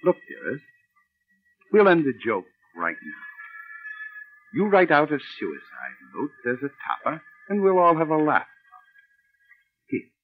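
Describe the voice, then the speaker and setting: An actor playing a domineering, murderous playwright husband with a commanding, coaxing manner in a 1940s radio drama. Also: male voice, on the high side, wildly swooping pitch, unhurried, long pauses, faint music behind, full character voice. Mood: coaxing, insistent. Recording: muffled old radio recording.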